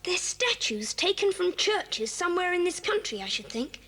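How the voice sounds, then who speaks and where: A reverberant cassette drama recording carries a boy adventurer with a very high, animated voice. The boy is a cheerful, confident leader, brisk and full of exclamations, always urging the others on. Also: exaggerated voice. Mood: awed, explaining. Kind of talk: 1980s children's audio drama acting.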